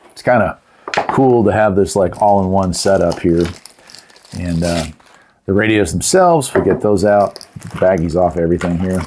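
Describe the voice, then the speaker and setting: A man's voice: sits low, darkish tone, slight background noise. A middle-aged man giving a casual, unscripted product review in a folksy, chatty, plain-spoken way. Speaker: host of a product review channel.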